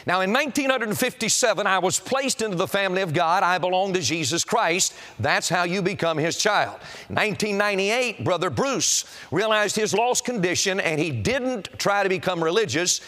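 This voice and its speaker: High-pitched man preaching an emphatic sermon. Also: expressive, moderate pace, hardly any pauses, bright, forceful tone. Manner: emphatic, declamatory, solemn warning. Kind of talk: evangelistic sermon to a congregation. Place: auditorium, preaching to a seated congregation.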